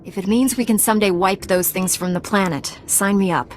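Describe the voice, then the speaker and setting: A woman's voice: a little fast, music in the background, natural voice. A woman playing a composed, resolute female knight in a science fiction video game. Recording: clear background noise, low rumble.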